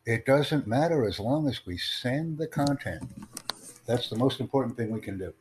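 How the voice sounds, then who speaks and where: A man hosting a livestream podcast, addressing callers in a deliberate, instructive way. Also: medium pitch, animated. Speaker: podcast host. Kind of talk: livestream chat with online callers. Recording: clearly noisy, humming background.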